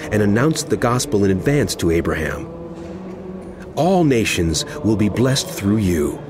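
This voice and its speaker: An actor playing an impassioned male apostle in a dramatized scripture reading, speaking emphatically and deliberately to a wayward flock. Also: lowish voice, background noise. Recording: music under the voice. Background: background music.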